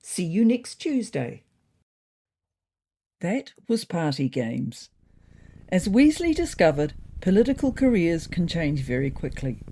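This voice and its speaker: A woman with a low, husky voice tells a scripted comic story as narrator. Her tone is dark and mellow, and her pitch moves around in a lively way.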